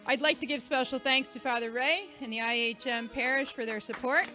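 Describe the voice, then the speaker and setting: A woman's voice: high voice, fairly even intonation, fairly quick, very noisy. A woman hosting an event, giving an informal, chatty, joking thank-you speech to a live audience. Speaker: event host and organiser.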